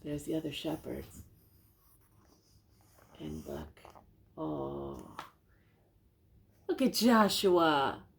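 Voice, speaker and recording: An older woman reading a children's storybook aloud in a gentle, expressive way, often speaking directly to her young listeners with questions. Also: deep voice, very slow, gravelly, long pauses, a little hiss or hum.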